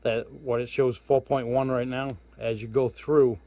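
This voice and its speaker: A man's voice: mid-range voice, flat delivery, unhurried, dark tone, no room sound. A man giving a casual hands-on product walkthrough as a hobbyist reviewer, informal and thinking aloud as he goes. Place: indoors at home.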